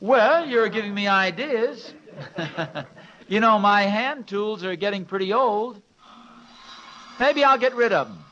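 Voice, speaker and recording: A man's voice: high-pitched, measured pace, long pauses, bright, forceful tone, highs cut off. A man playing a fussy, grumbling suburban husband with hesitant delivery in a mid-century radio sitcom, on a noisy old recording. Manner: hesitant, exasperated, repetitive.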